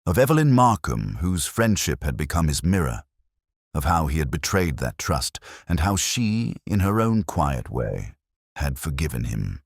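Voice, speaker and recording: A man giving scripted first-person detective narration in a measured, reflective, solemn manner. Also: a bass voice, very animated, slightly rough, dry recording, studio quality.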